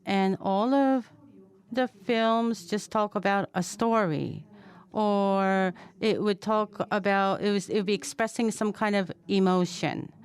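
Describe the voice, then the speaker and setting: A woman interpreting and moderating at a press conference, measured and careful. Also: mid-range voice, smooth.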